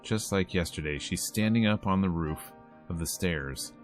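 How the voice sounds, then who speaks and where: A man's voice: low-pitched, moderate pace, soft background music. A man streaming a visual novel, reading the narration aloud and adding casual commentary.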